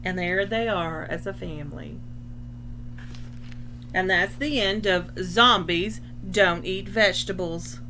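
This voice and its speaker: A woman reading a children's picture book aloud expressively, pausing to explain things in simple terms. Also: on the low side, noisy background. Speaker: storybook reader.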